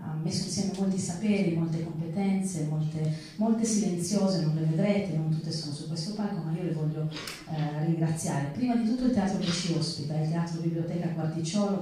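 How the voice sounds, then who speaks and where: A woman hosting from a theatre stage, addressing an audience in measured, articulate, formal phrases. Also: on the low side, mellow, slight background noise. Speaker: event host. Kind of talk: spoken introduction and thanks to an audience. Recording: very echoey theatre hall, distant mic.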